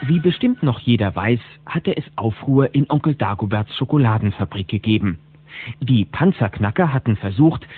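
A man narrating a children's cartoon audio drama as a lively storyteller. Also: medium pitch, husky. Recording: dull cassette tape sound.

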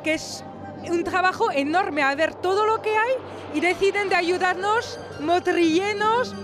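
A woman's voice: extremely high pitch, measured pace, over music, crowd noise. A middle-aged French woman speaking non-native Spanish with a French accent, enthusiastic, interviewed at a handheld mic in a crowded gallery. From France. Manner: enthusiastic, slightly broken Spanish. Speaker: charity president. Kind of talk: street interview. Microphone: handheld reporter mic.

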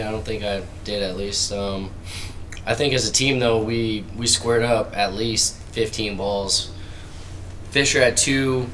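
A young man speaks in a lowish voice with little rise and fall, answering postgame questions as a college athlete. His delivery is plain and matter-of-fact, and there is clear room noise behind him at the table microphone. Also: fairly bright tone, low-quality recording. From Arizona, USA. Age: early 20s. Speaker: college baseball player. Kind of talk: postgame press conference answers. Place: indoor press conference table.

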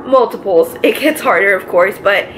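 A pregnant young woman with a raspy, allergy-congested voice, chatting casually to camera from her living room couch, full of filler words. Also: medium pitch, moderate pace, a bit bright, a little hiss or hum, poor audio. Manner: chatty, lots of filler words, gestures with both hands. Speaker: vlogger. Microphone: camera in front, medium framing.

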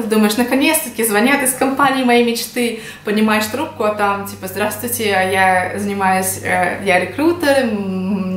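A woman in her twenties from Russia, talking casually to the camera as she explains things in a vlog. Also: medium pitch. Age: 20s. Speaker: vlogger. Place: small indoor room at home. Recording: echoey home room.

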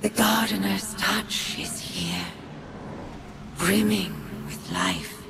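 Female alien wizard, game character, formal, devout, slow, creaky, loud background noise. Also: mid-range voice, wildly swooping pitch, exaggerated voice. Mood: reverent. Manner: formal, speaks of self in third person. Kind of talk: scripted video game dialogue.

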